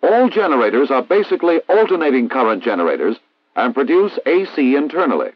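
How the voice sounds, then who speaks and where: An American man reading a scripted instructional narration in a formal, measured, didactic way, as in a 1960s military training film. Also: medium pitch, big pitch swings, no echo. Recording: dull vintage 1960s film soundtrack.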